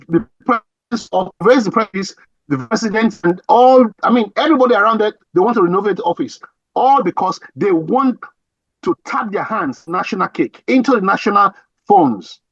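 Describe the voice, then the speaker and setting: A man with a husky voice, giving emphatic political commentary with many restarts and repeated phrases. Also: sits high, a bit bright, no echo, muffled recording. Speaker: guest commentator.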